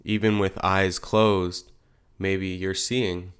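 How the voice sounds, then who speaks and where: A man leading a guided meditation as a teacher, speaking in gentle, unhurried phrases with pauses. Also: sits low, flat delivery, clean tone.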